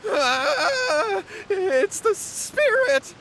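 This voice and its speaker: A man's voice: very high-pitched, big pitch swings, drawn-out words, fairly bright tone, a little hiss or hum, full character voice. An actor playing a nervous, guilty young man, flustered and pleading, in a video game. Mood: terrified.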